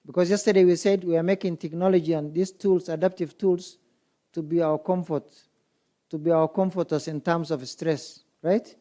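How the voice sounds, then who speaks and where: A man from Kenya speaks at an education symposium, his voice pitched a little high with a warm, mellow tone. He moderates the session by firing rhetorical questions at the audience. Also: steady tone, normal speed. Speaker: conference moderator. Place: conference hall.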